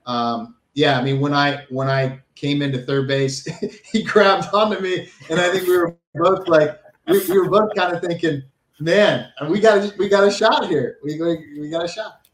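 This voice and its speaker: A man's voice: fairly high pitch, moderate pace. An American man, a former college ballplayer, reminiscing casually as an interview guest. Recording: roomy, echoey sound.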